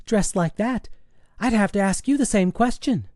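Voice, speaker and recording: Guy doing a teen girl for an audiobook, pitched way up, sarcastic n exasperated, dry sound. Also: normal speed, a little mellow, no room sound, close to an everyday voice. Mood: teasing. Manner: exasperated, mocking delivery. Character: sarcastic, dramatic teenage girl teasing her mother. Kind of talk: audiobook character dialogue.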